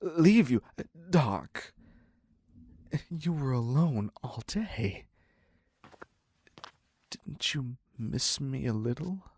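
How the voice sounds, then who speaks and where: A man playing an obsessive, clingy criminal captor in an intimate audio roleplay, husky and halting, slipping into whispers. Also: medium pitch, lively intonation, darkish tone, full character voice. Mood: coaxing, needy. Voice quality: husky, breathy, gravelly. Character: obsessive male yandere captor, ex-asylum criminal, clingy and needy.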